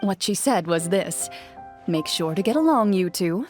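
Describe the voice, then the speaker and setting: A woman voicing a calm, reserved young duelist in an anime-style game, speaking formally and matter-of-factly. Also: lowish voice, unhurried, music in the background.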